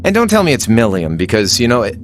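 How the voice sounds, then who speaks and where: Cocky, teasing young rogue, swooping delivery. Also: male voice, lowish voice, wildly swooping pitch, fast talker, music in the background. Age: young adult. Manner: teasing, flippant, casual. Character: cocky, laid-back young male rebel, teasing rogue. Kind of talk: video game voice acting.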